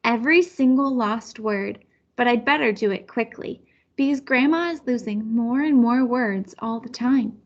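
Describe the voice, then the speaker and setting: A woman's voice: fairly high pitch, a little slow, dull audio. A woman reading a children's picture book aloud as a storyteller. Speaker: storybook reader.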